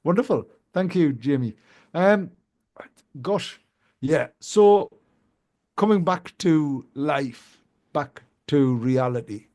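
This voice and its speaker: A man hosts a live business show from a radio studio. His voice is mellow and warm, and he speaks in an animated way. He often restarts his sentences and throws quick questions to his guests. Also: a little high.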